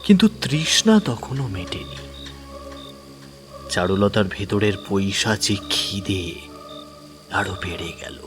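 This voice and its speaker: A man's voice: lowish voice, lively intonation, slow, long pauses. A man narrating a horror audio story dramatically, sometimes dropping to a whisper. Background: music.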